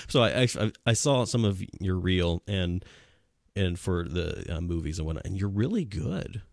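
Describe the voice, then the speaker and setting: A man's voice: sits low, expressive, unhurried, creaky, darkish tone, dry recording, clean studio sound. A man hosting an online talk show over a video call, joking and laughing a lot while he asks rambling questions. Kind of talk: casual interview chat.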